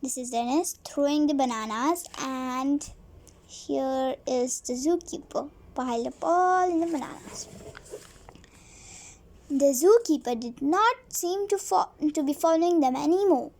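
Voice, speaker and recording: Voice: high voice, measured pace, a little mellow. A child reading a storybook aloud, stumbling over words and repeating phrases now and then.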